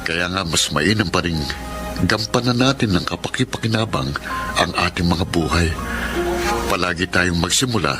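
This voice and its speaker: A gentle, earnest family man, played by an actor, speaking respectfully in a lowish voice. Clear music plays under this radio drama scene. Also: normal speed, natural voice. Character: dutiful grown son and family man. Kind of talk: classic radio drama acting.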